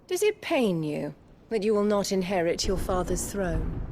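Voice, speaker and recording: An actress playing a cold, scheming imperial noblewoman in a video game drama, condescending and measured. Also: fairly low pitch, expressive, a little mellow, a little hiss or hum. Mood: cold scorn.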